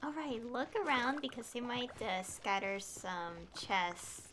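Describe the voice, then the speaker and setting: Animated woman streamer thinking aloud. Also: on the high side, a little slow, a little hiss or hum. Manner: hesitant, thinking aloud, trailing off. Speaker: game streamer. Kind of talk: casual live gameplay commentary.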